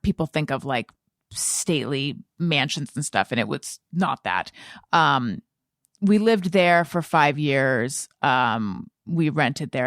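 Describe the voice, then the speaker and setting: A woman's voice: low-pitched, fairly bright tone, no echo, studio quality. A woman podcast host talking in a casual, informal, rambling way, feeling out her thoughts as she speaks. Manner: informal, rambling, self-questioning. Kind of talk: casual conversational chat.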